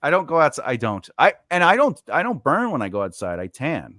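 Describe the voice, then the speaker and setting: A man's voice: on the high side, huge pitch swings, a little fast, pushed and bright. A man hosting a casual podcast chat, rambling with lots of fillers and restarts. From United States. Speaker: podcast host.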